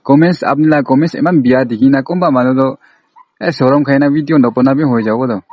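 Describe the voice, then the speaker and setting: Low-voiced man, accusing and insistent. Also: fast talker, no echo, highs cut off. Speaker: prank caller. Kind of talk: confrontational prank phone call in casual colloquial speech.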